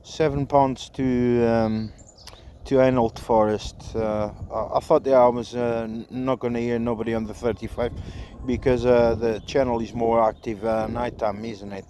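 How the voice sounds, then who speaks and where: A man making halting, repetitive radio calls and casual commentary during an outdoor antenna test. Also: medium pitch, level tone, dark tone, a little hiss or hum. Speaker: amateur CB radio operator testing an antenna. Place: outdoors in a park.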